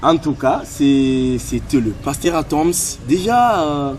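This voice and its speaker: A man hosting a gospel web show, interviewing a singer in French mixed with Lingala, with many pauses. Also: a little high, lively intonation, noisy background. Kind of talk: casual interview.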